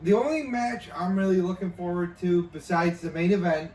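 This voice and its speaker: A man of thirty co-hosting a casual chat show, excitable and quick to swear. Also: high-pitched. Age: 30s. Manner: excitable, profane. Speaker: podcast co-host. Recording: echoey room, mic at a distance.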